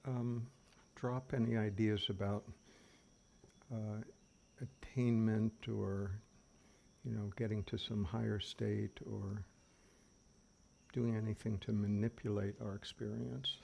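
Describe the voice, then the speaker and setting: A man leading an unscripted guided meditation as a teacher, speaking gently and unhurriedly with long pauses. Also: fairly low pitch, creaky. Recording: steady background noise of fans and birds. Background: fans, birdsong and insects.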